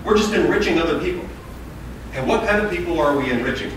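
A man's voice: fairly high pitch, animated, fast talker. Man, 30s, American; politician making his case at a town hall, listing points; distant, roomy, hissy recording. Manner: emphatic, lists points in runs. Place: large hall with audience. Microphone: far camera, no mic seen. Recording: echoey hall from far off, hiss.

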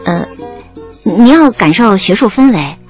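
An actress playing a young woman student who narrates her love story in an audio drama, expressive and playfully wry. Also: medium pitch, measured pace, lo-fi sound, soft background music, close to an everyday voice. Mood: amused exasperation.

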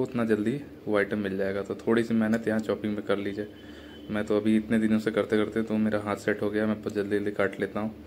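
Low, flat-voiced young man vlogging in a kitchen. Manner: chatty, addresses viewers as brother. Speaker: cooking vlogger. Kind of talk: casual cooking voice-over. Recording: echoey room with faint ambient noise.

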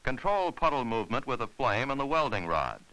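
A man's voice: medium pitch, sing-song, a little fast, bright, forceful tone, rough recording. A man narrating an instructional film in a formal, scripted, step-by-step way.

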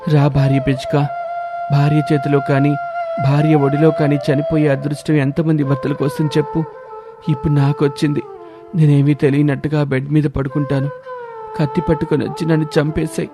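A man's voice: on the high side, flat delivery, normal speed, music in the background, pitched above the performer's own voice, close to an everyday voice. Man voicing a dramatic cartoon husband crow. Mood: resigned, sorrowful. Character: suspicious husband crow, wounded and self-pitying, bird cartoon.

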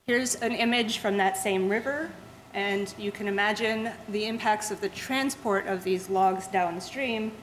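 A woman delivers a museum talk to an audience, her voice at an ordinary middle pitch. She explains step by step, often stopping to restart a word. A faint room noise runs under her voice. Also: measured pace, low-quality recording. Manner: steady explanatory pace with frequent restarts and fillers. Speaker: museum lecturer. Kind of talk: public science lecture with slides. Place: lecture room.

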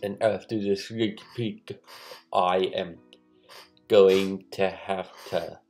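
A young man casually hosting a show to camera in a halting, mumbled way from a bedroom. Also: deep voice, steady tone, darkish tone, faint music behind. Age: young adult. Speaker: host. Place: small bedroom, indoors.

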